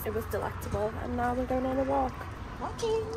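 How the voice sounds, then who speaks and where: A woman's voice: on the high side, fairly even intonation, fast talker, gravelly. A woman chatting casually to her vlog, recorded on a phone. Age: 20s. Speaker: vlogger. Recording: noisy ambience, outdoors and in car.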